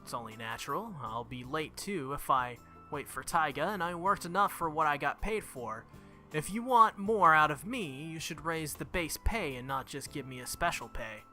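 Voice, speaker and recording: A man's voice: a little high, animated, normal speed, pushed and bright, soft background music. A man voicing a cheeky, mean and confident little girl from a visual novel, taunting in a matter-of-fact way. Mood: haughty. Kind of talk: visual novel character reading.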